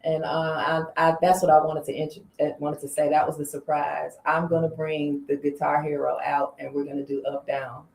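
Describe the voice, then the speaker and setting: A singer from Illinois, a woman, talking as an interview guest in an animated, chatty way. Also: low-pitched, moderate pace, gravelly, hardly any pauses. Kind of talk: casual interview. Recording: roomy studio sound.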